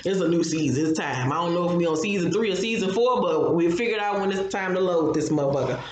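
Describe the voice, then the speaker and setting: A woman co-hosting a casual podcast, upbeat and relaxed, reading a listener's letter aloud. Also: fairly low pitch, fast talker. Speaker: podcast co-host. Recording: very echoey room with faint noise.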